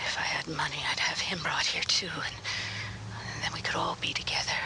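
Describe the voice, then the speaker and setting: A woman's voice: low-pitched, wildly swooping pitch, poor audio. An actress playing a weary, grieving old woman lost in memories, speaking her thoughts in a radio horror drama. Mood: numb grief. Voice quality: breathy whisper. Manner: slow, mournful inner musing.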